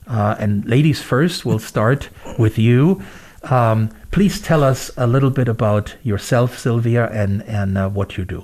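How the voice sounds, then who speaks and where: Man hosting a measured interview podcast. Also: medium pitch, darkish tone. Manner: measured, reads a prepared introduction. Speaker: podcast host. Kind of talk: interview podcast, scripted intro.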